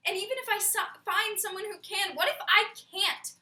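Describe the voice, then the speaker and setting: Actress as self-doubting young woman, theatrical dramatic comedy, urgent questioning, high voice, roomy distant sound. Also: fairly quick, bright, forceful tone, heavily acted. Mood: anguished, searching. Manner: searching, rhetorical, emphatic questioning. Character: troubled young woman agonizing over love and self-doubt. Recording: echoey room, far from mic.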